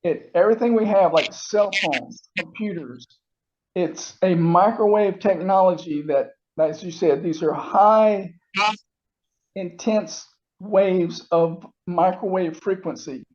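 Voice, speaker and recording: A man in his sixties speaking as an interview guest over a call, agreeable and halting as he explains. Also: high voice, darkish tone. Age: 60s. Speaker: interview guest giving testimonial. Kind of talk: casual interview. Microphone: remote call. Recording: dull, muffled call audio.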